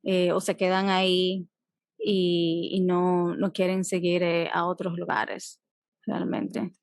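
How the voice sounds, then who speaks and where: A woman's voice: on the low side, level tone, slow pace, no room sound, clean studio sound. A young adult woman from the Dominican Republic with a Dominican Spanish accent, a psychologist talking as an interview guest, reflective, with restarts and English phrases mixed in. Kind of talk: casual interview conversation.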